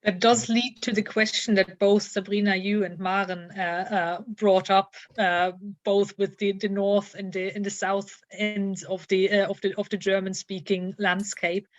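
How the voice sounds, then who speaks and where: A woman moderates an online panel over a dry, dull-sounding line. She hesitates often, filling gaps with uh and um as she sets up each question. Her delivery is halting and low-key. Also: flat delivery, no echo. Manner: hesitant, lots of uh and um. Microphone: video call.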